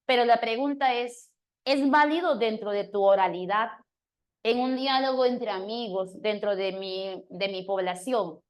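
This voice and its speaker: A woman's voice: medium pitch, fairly even intonation, a little slow. A woman teacher giving an online exam-prep grammar class, explaining step by step and checking in with her students as she goes.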